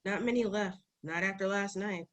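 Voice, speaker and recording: A woman's voice: mid-range voice, moderate pace, lightly acted. A frightened girl of thirteen, played by a woman, speaks in a screenplay table read. She comes across as wary and urgent, a young refugee. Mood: anxious.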